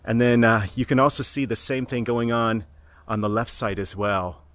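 A man's voice: medium pitch, fairly even intonation, normal speed, a bit bright. Chatty man giving a fort walking tour. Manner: chatty, explains as he walks, laughs now and then. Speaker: travel vlogger. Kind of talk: travel narration and walking tour commentary. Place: outdoors at a ruined hilltop fort.

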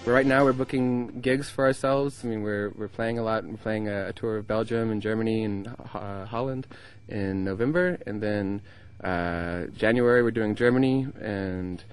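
A young American man in a band answers questions in a low voice. He hesitates a lot, restarting his sentences and filling the gaps with ums. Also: normal speed, hardly any pauses, clear, resonant tone. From United States. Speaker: band member. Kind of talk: casual interview. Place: indoor festival lounge.

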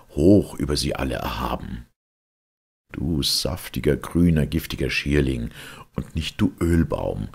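A man reading a classic literary tale as an audiobook narrator, in a solemn, measured storytelling manner. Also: very deep voice, vocal fry, slightly rough.

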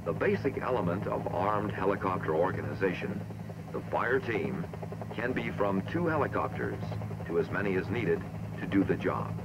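A man with an American accent reading scripted military training narration in a formal, measured, authoritative way, on an old film soundtrack. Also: low voice, animated, muffled recording, heavy background noise.

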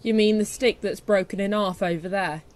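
A woman's voice: medium pitch, fairly even intonation, normal speed, a little mellow, lightly acted. Woman acting blunt, exasperated, bossy woman. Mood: dry, weary. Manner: blunt, dry. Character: exasperated, no-nonsense, bossy woman shop companion. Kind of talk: comedy audio drama acting.

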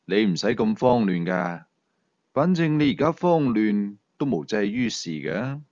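A man voicing a cool, confident male sleuth in an audio thriller, low and hushed, with slow, deliberate delivery. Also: big pitch swings, no room sound, dull audio. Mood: grave, measured.

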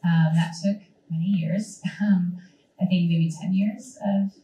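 A woman with a cold gives a relaxed talk on her museum work, her voice cracking now and then. Her tone is low and mellow. She is picked up from a distance in an echoey lecture room. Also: takes it slowly, a little hiss or hum. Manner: relaxed, many ums. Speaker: museum curator giving a talk. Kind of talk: college career presentation. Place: college lecture room with audience.

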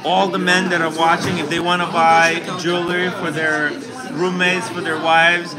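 A man's voice: fairly high pitch, little pitch movement, moderate pace, music in the background. A man, chatting and joking at an event, filmed on a phone at arm's length. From Ukraine. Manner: joking, chatty, hands moving. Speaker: vlogger. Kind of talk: casual chat at an event. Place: busy indoor store event. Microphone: phone camera at arm's length. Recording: loud crowd chatter behind.

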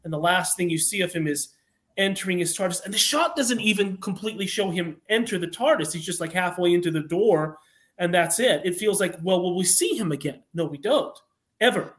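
A man answering as a guest writer on an online call, earnestly telling how things came about, halting and correcting himself. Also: high-pitched. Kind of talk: interview answers, storytelling.

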